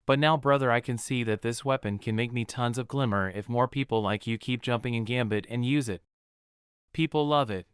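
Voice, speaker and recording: A man's voice: mid-range voice, brisk, smooth, dry recording, clean studio sound, natural voice. A man voicing a folksy, money-minded hustler who talks like a chummy brother, in an emphatic manner. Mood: chummy, excited.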